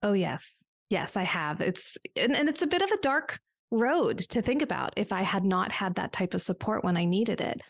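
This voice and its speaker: Podcast guest, woman, forties, reflective storyteller, some vocal fry. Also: medium pitch, expressive, moderate pace, no echo, studio quality. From United States. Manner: reflective storytelling with small restarts. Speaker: business coach guest. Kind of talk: conversational podcast interview.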